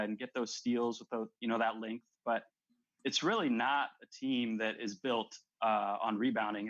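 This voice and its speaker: A man's voice: medium pitch, a bit bright, dull audio. A man co-hosting a sports show, offering casual, conversational analysis of teams and play.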